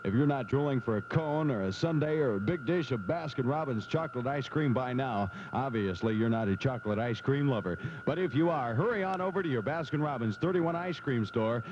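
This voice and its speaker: A man's voice: lively intonation, brisk, few pauses, background noise. A man announcing a 1970s radio commercial in a salesy, descriptive style. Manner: salesy, descriptive product pitch. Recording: dull vintage radio recording with music. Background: music.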